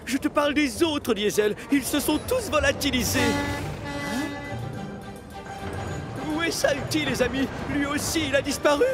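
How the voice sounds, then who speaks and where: A man's voice: very high-pitched, a little slow, pauses often. A man voicing a kind, naive and easily worried young diesel engine in a children's cartoon dub, speaking earnestly in exclamations. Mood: anxious, puzzled. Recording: music behind the voice.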